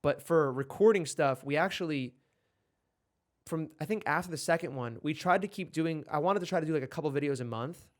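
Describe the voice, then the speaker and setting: A man's voice: a little high, a little fast. A man in his twenties co-hosting a podcast, talking casually and rambling with many restarts. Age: 20s. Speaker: podcast co-host. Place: in Los Angeles.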